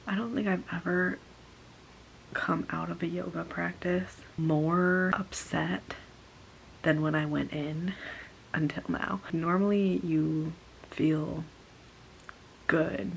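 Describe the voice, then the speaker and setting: Young woman, congested, sore throat, whispered chatty storytelling, lower pitch, gravelly, faint hiss. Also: rough recording. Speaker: vlogger. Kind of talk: casual storytime chat.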